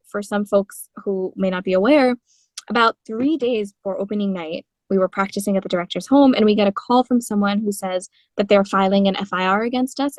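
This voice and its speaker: A young woman in her twenties from India, telling animated stories in an interview as a guest singer-songwriter. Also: medium pitch, no echo. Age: 20s. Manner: animated storytelling with frequent laughs and fillers. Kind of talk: conversational interview.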